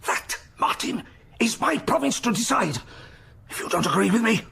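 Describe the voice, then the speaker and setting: A man's voice: high voice, expressive, normal speed, vocal fry, pushed and bright, husky, heavily acted. An actor playing a pompous, domineering senior English churchman in a radio drama, blustering and patronising. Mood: stern, patronising.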